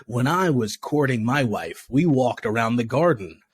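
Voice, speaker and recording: A young man from Tanzania, a podcast guest, chatting casually and acting out quotes with sudden jumps in pitch, laughing often. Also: animated, normal speed, husky, dry recording. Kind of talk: casual interview chat.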